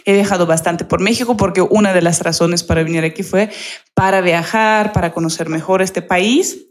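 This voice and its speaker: A woman's voice: on the low side, moderate pace. Chatty Russian woman speaking fluent Spanish. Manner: lively, laughs often, talkative. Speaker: podcast guest. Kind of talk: casual interview chat.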